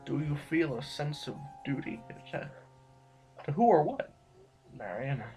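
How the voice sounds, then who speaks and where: An actor in an audio drama playing a rambling, disillusioned duke and mentor, halting and musing with theatrical outbursts. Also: male voice, fairly high pitch, sing-song, dark tone. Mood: probing. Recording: music behind.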